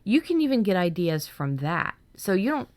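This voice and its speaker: A woman's voice: medium pitch, sing-song, moderate pace, a little mellow, no echo. A woman co-hosting a podcast, talking casually and informally about writing. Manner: informal, conversational. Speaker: podcast co-host. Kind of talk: casual discussion of fiction writing.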